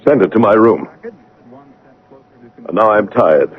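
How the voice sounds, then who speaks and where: An actor playing a dour, brusque master of the house in a radio drama, with an imperious, probing manner and a husky voice. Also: male voice, on the low side, slow pace, phone-quality sound. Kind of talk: theatrical radio drama acting. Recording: band-limited vintage radio drama broadcast.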